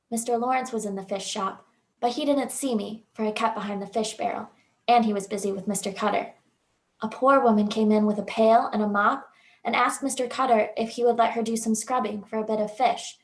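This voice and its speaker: A woman's voice: mid-range voice, close to an everyday voice. A woman playing a sweet, kind-hearted young sister in a radio play rehearsal, telling her story simply and earnestly.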